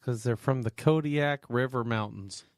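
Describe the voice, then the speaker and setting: A man co-hosting a comedy podcast in casual banter, teasing with hammy, deadpan mock seriousness. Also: medium pitch, normal speed, no room sound, studio quality. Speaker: podcast co-host.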